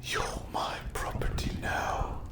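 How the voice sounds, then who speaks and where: A performer voicing a sadistic, possessive demon in an ASMR dark fantasy roleplay, whispering menacing mock endearments to captive prey. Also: lightly acted. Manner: slow, menacing, mock-sweet purring whisper.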